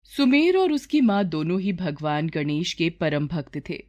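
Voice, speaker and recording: A woman's voice: lowish voice, big pitch swings, a little slow, a little mellow. A woman narrating a scripted moral story as the storyteller.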